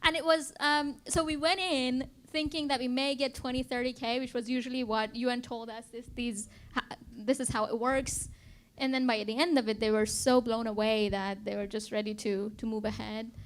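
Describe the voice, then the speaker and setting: Woman, kinda high voice, startup founder chatting in an interview. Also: little pitch movement, normal speed. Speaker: interview guest, biotech company founder and CEO. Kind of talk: conversational interview storytelling.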